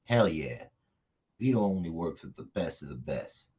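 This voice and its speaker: A man acts a swaggering, crude action hero in a comedy table read. The voice is low and husky, with an offhand, cocky delivery that sounds laid-back. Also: sing-song, normal speed, mellow, heavily acted.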